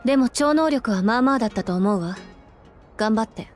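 A woman's voice: fairly high pitch, lively intonation, fairly quick, faint music behind, lightly acted. A Japanese actress playing a composed, matter-of-fact young female soldier in an anime game. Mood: cool, blunt.

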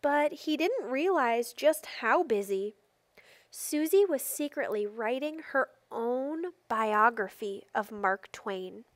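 A woman reading a children's picture book aloud expressively, with deliberate phrasing and emphatic stresses. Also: a little high, animated, no room sound. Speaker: storybook reader.

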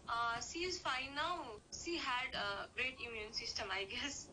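A young woman from Nepal on a video call, talking hesitantly with many pauses. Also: on the high side, animated, sharp tone, rough recording. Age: young adult. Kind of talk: casual phone conversation. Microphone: phone video call.

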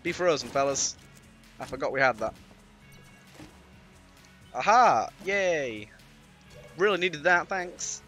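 British male gaming YouTuber, bright slightly raspy voice with a cold, playful casual commentary over game music. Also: on the high side, expressive, slow, long pauses. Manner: playful, mildly sweary. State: has a cold. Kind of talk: casual let's-play commentary.

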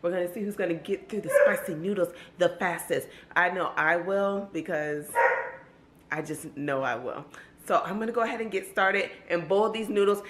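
A young woman with a slightly raspy voice, chatting excitedly to her viewers in a casual home vlog, filming from arm's length. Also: lowish voice, moderate pace, slight background noise. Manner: chatty, loud bursts of excitement. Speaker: vlogger. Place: home kitchen and dining table. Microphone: handheld camera at arm's length, later table camera. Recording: echoey room with background music.

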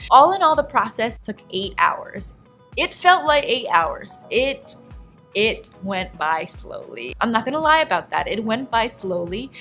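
A woman's voice: medium pitch, fairly bright tone. A young American woman chats to her viewers in a casual vlog style. Her manner is playful and humorous, with a mid-range voice. Music plays along with her talk. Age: young adult. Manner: chatty, playful, humorous, conversational. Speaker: vlogger reviewing a hair salon visit.

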